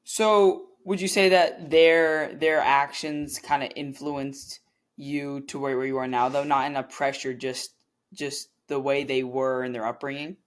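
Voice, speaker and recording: A man's voice: mid-range voice, flat delivery, measured pace. Man host with rambling questions. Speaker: podcast host. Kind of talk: interviewing.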